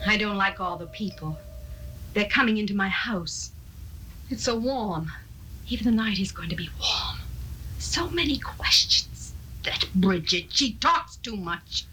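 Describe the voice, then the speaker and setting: A woman's voice: mid-range voice, expressive, a little slow, sharp tone, slight background noise, rough recording. A woman acting a cold, strong-willed Victorian spinster of thirty-two in a 1970s radio drama, with a brooding, imperious manner. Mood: entranced, cold.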